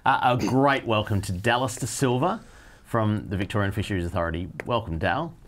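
A man's voice: on the low side, fairly quick, slight background noise. A middle-aged Australian man hosting a casual studio chat show, chatty and joking with his co-hosts and guest. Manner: chatty, jokey, cheerful. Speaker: host. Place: studio set with a bar backdrop.

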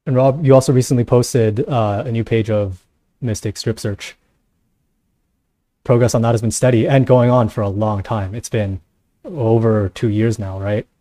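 A man's voice: on the low side, fairly even intonation, normal speed, warm tone, no room sound. A man hosting a casual live panel show, sounding somewhat tired. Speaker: host.

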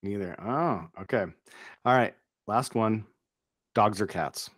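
A middle-aged man hosts a casual interview with upbeat, quick reactions. His voice sits fairly low. It is picked up dry and close on a boom mic in a home studio. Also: fairly bright tone, no room sound. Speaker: interview host. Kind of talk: casual video-call interview. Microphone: boom studio microphone with headphones.

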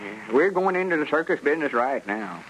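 An actor playing a folksy, self-important rural storekeeper with a drawling Southern accent in an old radio comedy serial. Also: male voice, mid-range voice, sing-song, brisk, a bit bright. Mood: chatty. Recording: noisy old 1930s radio recording.

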